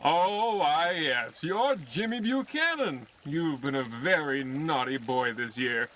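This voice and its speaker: A showy, theatrical character from a comic radio play. The voice is high, sharp and swooping, with slow exaggerated delivery and a little background noise. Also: male voice, expressive. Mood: self-important. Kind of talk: parody of old radio drama acting.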